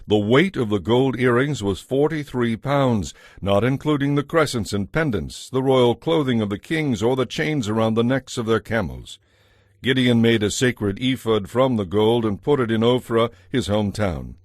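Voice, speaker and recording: A man narrating a scripted audio Bible as a measured, solemn storyteller. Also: mid-range voice, no room sound, studio quality.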